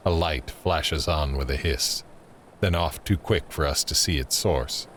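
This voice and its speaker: A man's voice: rumbling low, unhurried, fairly bright tone. A man reading scripted, screenplay-like narration for an audio drama. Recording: faint ambient noise under narration.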